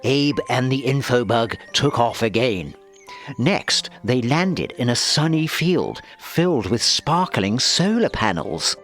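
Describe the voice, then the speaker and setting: A man reading a children's story as narrator, with expressive scripted delivery. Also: medium pitch, slow pace. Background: music.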